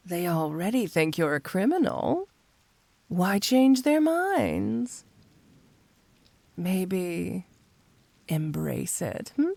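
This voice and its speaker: A woman playing a flirty, seductive cat-burglar supervillain in an intimate ASMR roleplay, coaxing and teasing in an unhurried way. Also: mid-range voice, mellow, no room sound, heavily acted.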